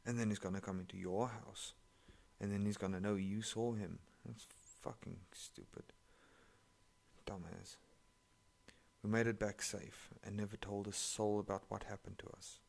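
A man's voice: lowish voice, steady tone, moderate pace, gravelly, darkish tone. A man, not an English speaker, reading online stories aloud and breaking in with sarcastic, profane commentary. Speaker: story reader.

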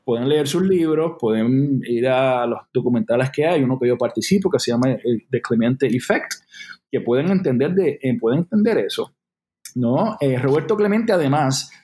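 A man's voice: mid-range voice, expressive, moderate pace, darkish tone. A man in his forties from Puerto Rico with a Puerto Rican accent, speaking as an interview guest in an earnest, reflective way, thinking aloud with restarts. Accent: Puerto Rican Spanish. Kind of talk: conversational interview.

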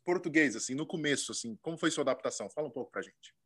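A man's voice: on the high side, lively intonation, very fast, fairly bright tone, husky. A man hosting a casual interview, talking loosely with frequent fillers and prompting the guest. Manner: chatty, many fillers and backchannels. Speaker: interviewer host.

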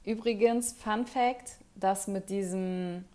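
A woman chatting casually with a friend, talkative and quick to laugh, with lots of fillers. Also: medium pitch, fairly even intonation, a little mellow. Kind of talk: casual chat.

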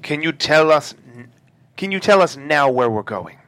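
A man plays an impatient follower in a terrorist cell, demanding answers. His voice is raised in pitch and forceful, with big swings, in a thriller reading. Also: animated, moderate pace, no room sound.

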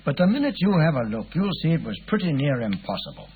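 An actor playing a brisk, matter-of-fact police inspector in an old radio drama. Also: male voice, a little high, sing-song, husky, exaggerated voice. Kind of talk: 1940s radio acting. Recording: tinny, hissy old radio broadcast.

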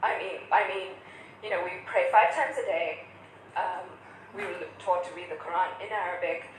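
Woman, lowish voice, earnest testimony, heavy room echo. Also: moderate pace, a little hiss or hum. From Pakistan. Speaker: student volunteer. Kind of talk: personal testimony. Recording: very echoey room.

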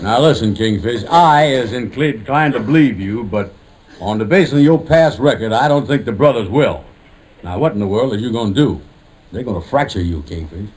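A man's voice: lowish voice, lively intonation, moderate pace, a little mellow, breathy edge. An actor playing an easygoing, blunt, girl-chasing lodge brother in a 1950s radio sitcom, with folksy, ungrammatical speech and pompous big words. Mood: blunt warning. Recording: slightly noisy 1950s radio recording.

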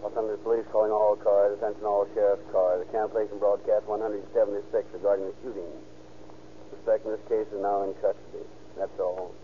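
An American man playing a flat, official police dispatcher reading a clipped 1930s radio bulletin. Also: fairly low pitch, fast talker. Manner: monotone, clipped. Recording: noisy, phone-like 1930s radio with hum.

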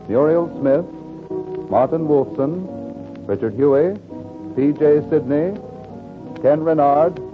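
Male announcer, mid-range, formal 1940s radio credit read, tinny sound with music clearly behind. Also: fairly high pitch. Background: loud music.

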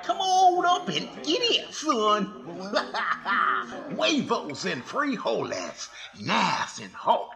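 A man's voice: high voice, huge pitch swings, drawn-out words, vocal fry, over music, full character voice. A man playing a cheery, folksy camp cook in an old-time radio Western. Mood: jovial.

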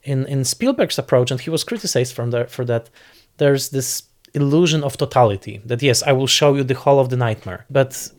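A man giving reflective interview commentary on film, thoughtful and halting as he searches for words. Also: medium pitch.

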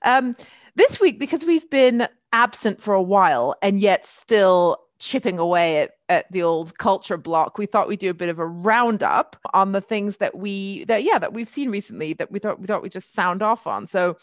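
A woman's voice: medium pitch, animated, normal speed, no echo, studio quality. A middle-aged British woman, chatty and articulate, hosting a casual discussion about culture. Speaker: podcast host, columnist and historian.

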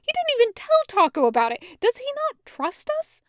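A woman's voice: very high voice, wildly swooping pitch, moderate pace, fairly bright tone, no room sound, studio quality, pitched above the performer's own voice, exaggerated voice. A woman voicing a hurt, angry sister in an audio story, blunt and emphatic with sweary outbursts. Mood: betrayed, demanding.

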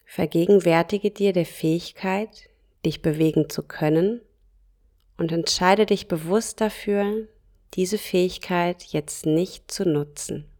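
A woman guiding a yoga nidra relaxation, speaking softly and calmly in short phrases with long pauses. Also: fairly low pitch. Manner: slow, soft and calm, with pauses between short phrases. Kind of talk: guided relaxation meditation.

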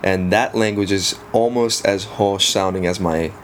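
A man in his thirties with a South African accent, a native Afrikaans speaker, talking casually as an interview guest with relaxed pauses and frequent ums. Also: deep voice, slight background noise. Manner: relaxed, frequent ums, self-deprecating humor. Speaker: guest, photographer.

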